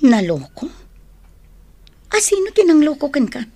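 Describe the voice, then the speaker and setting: An actress with an Ilocano accent playing the hero's concerned older sister in a radio drama, exclaiming loudly. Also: female voice, high voice, very animated, measured pace, no echo. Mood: alarmed.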